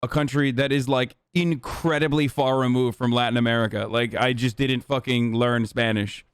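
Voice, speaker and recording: A man's voice: medium pitch, steady tone, clean tone, no room sound. A man whose first language is Turkish, ranting heatedly and profanely while reacting on a live stream. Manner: profane, heated, rapid rants. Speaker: Twitch streamer.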